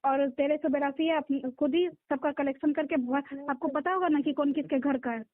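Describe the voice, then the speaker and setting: A woman's voice: sits high, steady tone, normal speed, no room sound. A woman official with a Bihari accent, insistent and directive, speaking on a recorded phone call. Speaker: block-level government official. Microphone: phone line.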